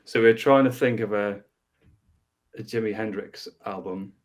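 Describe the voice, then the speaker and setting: A man's voice: on the low side, unhurried. A man from Northern Ireland with a mild Northern Irish accent, a band member chatting as a guest, laughing often and repeating words as he thinks. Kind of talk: casual chat.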